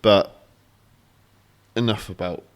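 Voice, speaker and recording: Man, low pitch, laid-back, jokey co-host. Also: fairly even intonation, slow pace. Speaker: podcast co-host. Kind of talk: casual chat.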